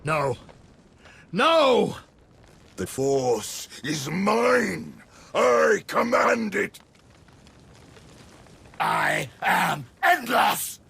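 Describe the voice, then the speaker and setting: A man playing a raging, megalomaniac immortal Sith emperor spirit in a video game, with a rasping, gravelly voice. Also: high-pitched, wildly swooping pitch, drawn-out words, long pauses, bright, forceful tone, exaggerated voice. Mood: raging, desperate. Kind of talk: video game villain acting.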